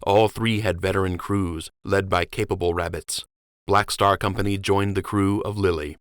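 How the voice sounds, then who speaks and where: A man narrating an audiobook with expressive storytelling on a close studio mic. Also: deep voice, moderate pace, clean tone, no echo.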